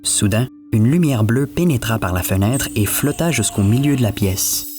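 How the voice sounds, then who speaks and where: A man's voice: mid-range voice, lively intonation, normal speed, a little mellow, faint music behind. A man telling a scripted fairy tale as the storyteller. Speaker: storyteller, narrator.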